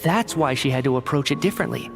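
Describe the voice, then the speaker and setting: An actor playing an earnest, analytical researcher in a video game, articulate and inquisitive, reasoning methodically. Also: male voice, mid-range voice, expressive, fast talker. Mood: thoughtful. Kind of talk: video game dialogue acting. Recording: studio voice under clear game music. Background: background music.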